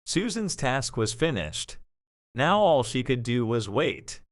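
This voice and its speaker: A man reads as the narrator of a dramatic story. His mid-pitched voice moves with lively, varied intonation, and his delivery is measured and expressive. The sound is dry and close. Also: no room sound.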